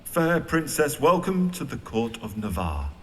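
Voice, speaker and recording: Slow, declaiming stage king, acted by a man. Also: medium pitch, big pitch swings. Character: aristocrat playing a lovestruck stage king, declaiming. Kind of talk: theatrical verse declamation. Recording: long hall reverb, faint noise.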